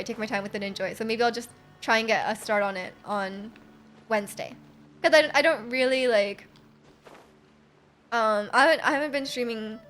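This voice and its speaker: A woman live streaming a game, chatting casually with an excitable manner and often repeating her phrases. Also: fairly high pitch. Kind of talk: casual live stream chat while playing. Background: game music.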